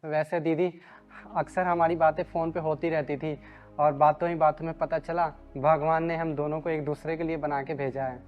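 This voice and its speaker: A man's voice: fairly high pitch, flat delivery, moderate pace, highs cut off, faint music behind, lightly acted. A young man playing a devoted, anxious runaway groom in a Hindi moral drama. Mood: anxious devotion.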